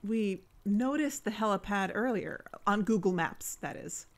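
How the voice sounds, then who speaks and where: A woman's voice: mid-range voice, expressive, unhurried. A woman playing a pushy, self-assured investigator in a mock-documentary audio drama, officious and insistent. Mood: incredulous.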